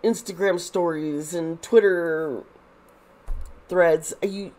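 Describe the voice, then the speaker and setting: A woman's voice: lowish voice, steady tone, slow, darkish tone, rough recording. A woman with a congested, fading voice, doing a casual tarot reading to camera, rambling with frequent restarts and fillers. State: congested, losing her voice. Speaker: tarot reader.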